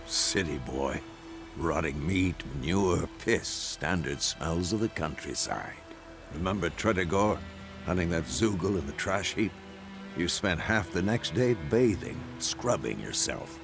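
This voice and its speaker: Older male mentor hunter for a fantasy game. Wry, gravelly, very animated intonation, music behind. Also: low-pitched, a little slow. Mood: teasing. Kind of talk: video game voice acting.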